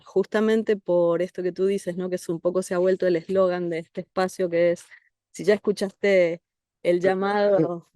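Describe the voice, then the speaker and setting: A woman from Argentina with an Argentine Spanish accent, a therapist speaking as a guest in a calm, reflective interview. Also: fairly low pitch, no room sound, studio quality. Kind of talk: conversational interview. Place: country house outdoors among trees.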